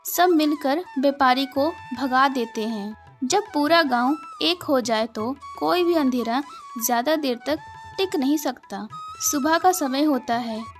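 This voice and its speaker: A woman narrating a children's cartoon moral story. Her voice is high and unhurried. She tells it gently, in a scripted storybook style. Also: faint music behind.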